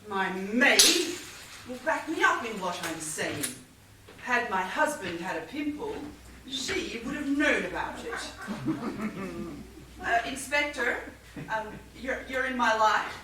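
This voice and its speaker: Echoey, airy, scatterbrained wealthy widow played by an actress. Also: female voice, fairly high pitch, animated, slow. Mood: dramatic, flustered. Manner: airy, theatrical, mock-innocent. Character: wealthy, scatterbrained, evasive middle-aged widow under suspicion. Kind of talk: stage comedy acting. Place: stage of a theatre. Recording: echoey theatre, distant mic, faint noise.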